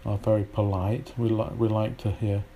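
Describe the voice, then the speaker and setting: A man's voice: fairly low pitch, level tone, moderate pace, warm tone. A man from Britain whose British English accent carries some Polish influence, teaching an informal English lesson in a rambling, hesitant way with many fillers and restarts.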